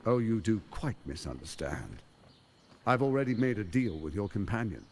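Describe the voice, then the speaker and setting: A man's voice: lowish voice, big pitch swings, normal speed, a little hiss or hum. A man playing a smug, silver-tongued tyrant in a video game, theatrical, formal and patronizing. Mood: persuasive, condescending. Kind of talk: dramatic video game acting.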